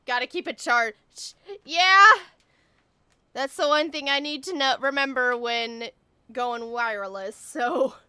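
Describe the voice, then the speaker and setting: A woman livestreaming a game, chatting casually and excitably to her chat. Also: high-pitched, slow, clean tone. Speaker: live streamer. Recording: faint game music behind. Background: game music.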